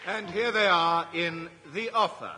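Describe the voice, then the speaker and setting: A man announces a radio programme in a scripted style. His voice is high for a man, and music plays clearly behind him. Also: measured pace.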